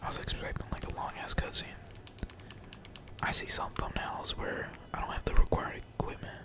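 A man whispering casual, slangy and sweary ASMR gaming commentary in a breathy, playful mutter, as a streamer talking to viewers. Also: normal speed. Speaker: gaming streamer, channel host.

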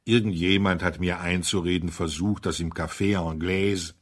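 A venerable old gentleman from an audiobook, as a male narrator plays him, deep-voiced and very husky. He delivers slow, weighty pronouncements with solemn, dismissive dignity. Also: fairly even intonation. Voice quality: deep bass.

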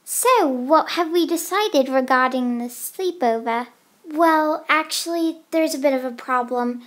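Slangy, dramatic sleepover girl, voiced by a young woman, high and slow, amateur stop-motion. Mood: casual. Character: young girls planning a sleepover, casual and dramatic.

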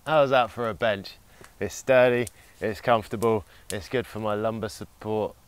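A man's voice: lowish voice, normal speed, clean tone. A man filming his own bushcraft vlog outdoors in the woods, talking cheerfully with playful, jokey asides. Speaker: bushcraft vlogger. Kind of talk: casual outdoor vlog commentary. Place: woodland outdoors, in the rain.

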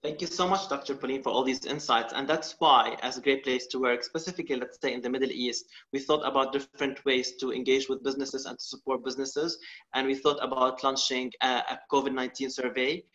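A man moderating a webinar over a video call, polite and quick to thank the speakers. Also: mid-range voice, fairly even intonation, fairly bright tone. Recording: dull video call audio.

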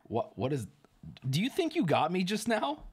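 A man hosting a livestream, chatting casually and teasing, with loud joking outbursts. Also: high-pitched, huge pitch swings, moderate pace, breathy edge. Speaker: livestream host. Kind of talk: casual stream chat.

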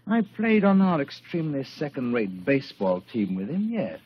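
An actor playing a haughty, evasive young lawyer with a pompous, fussy manner in 1940s radio style. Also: male voice, medium pitch, wildly swooping pitch, moderate pace, breathy edge. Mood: dismissive. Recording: muffled old radio broadcast.

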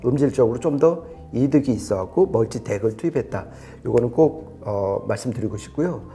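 Animated man explaining audio gear. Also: mid-range voice, fairly quick, faint music behind. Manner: explanatory, emphatic, conversational. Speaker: audio equipment reviewer explaining specs. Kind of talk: unscripted technical review talk.

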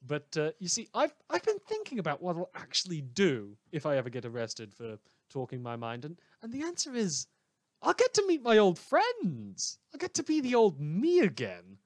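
A man playing an unhinged, sardonic radio host who delivers halting, wordy monologues in a theatrical manner. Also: sits high, wildly swooping pitch, no echo, pitched above the performer's own voice. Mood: manic, gleeful. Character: rambling, unhinged male radio host in a superhero world. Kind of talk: satirical radio news monologue.

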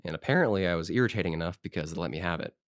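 A man's voice: low voice, lively intonation, very fast, no room sound, studio quality. A man playing an eager small-town historical society president in an audio drama, telling stories in an informal, rambling way. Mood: friendly, casual. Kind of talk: conversational audio drama monologue.

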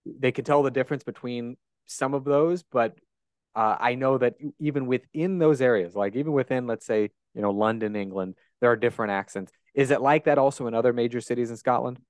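A man's voice: mid-range voice, fairly quick, clear, resonant tone, no room sound. A man in his thirties with a Great Plains American accent, hosting a casual podcast interview and asking curious, chatty questions with frequent restarts. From United States. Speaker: podcast host. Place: bright room at home. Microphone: handheld dynamic mic close up.

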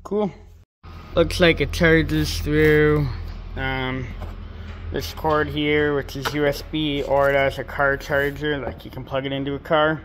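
A man's voice: on the high side, steady tone, unhurried, fairly bright tone, a little hiss or hum. A young man vlogging from his farm workshop, narrating casually in a laid-back, rambling way with plenty of fillers. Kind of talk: casual narration while working.

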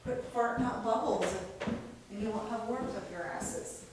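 A woman's voice: on the high side, normal speed. A woman chatting casually as a paranormal investigator, picked up by a camcorder some distance away indoors. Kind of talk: casual chat during a ghost investigation. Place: indoor room, away from the camera. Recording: very echoey room, distant mic, faint noise.

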